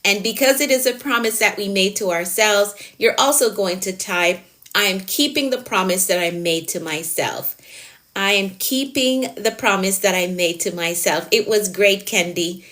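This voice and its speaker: A woman's voice: medium pitch, normal speed, a bit bright. Emphatic motivational woman coach. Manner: emphatic, repeats key phrases; encouraging, motivational. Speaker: productivity coach. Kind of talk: live stream talk, answering comments.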